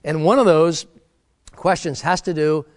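A man's voice: fairly high pitch, unhurried, dry recording, clean studio sound. A middle-aged man preaching a sermon, earnest and exhorting, often restarting his words as he talks. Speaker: pastor.

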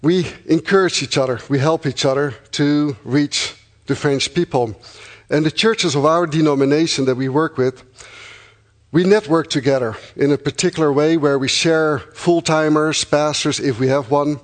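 A middle-aged man from the Netherlands with a Dutch accent, a missionary speaking earnestly and thankfully to supporters, pausing often with fillers. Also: fairly high pitch. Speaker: missionary church planter. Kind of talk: address to supporting congregation.

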